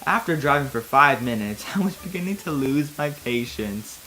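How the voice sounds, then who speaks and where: A man's voice: mid-range voice, expressive, normal speed, pushed and bright, slight background noise, poor audio. A man giving a casual, comedic dramatic reading of fan fiction, breaking off into amused, wry asides. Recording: music behind the voice, fluctuating quality. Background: music.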